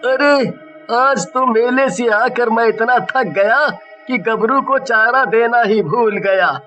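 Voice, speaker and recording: Man playing an excitable high-pitched village man. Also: muffled recording, faint music behind, exaggerated voice. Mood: complaining. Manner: drawn-out exclamations, rustic dialect. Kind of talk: Hindi horror cartoon voice acting.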